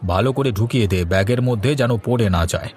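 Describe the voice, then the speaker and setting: A man's voice: medium pitch, fast, darkish tone, some chatter behind, lightly acted. A man playing a self-assured, bossy, well-off village husband in a cartoon. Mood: bossy, demanding. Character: doting, self-satisfied, bossy prosperous village cloth trader husband. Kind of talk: Bengali cartoon dialogue. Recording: light music behind the voice.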